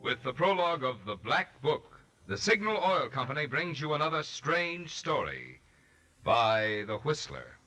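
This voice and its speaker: Bright, animated 1940s radio announcer man. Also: on the high side, a little slow, soft background music. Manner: polished, promotional. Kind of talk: 1940s radio sponsor read.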